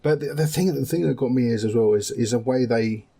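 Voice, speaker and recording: A man's voice: medium pitch, brisk, vocal fry, dark tone, breathy edge. A man co-hosting a casual gaming chat in a dry, matter-of-fact way. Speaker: podcast co-host. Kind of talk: casual chat about video games.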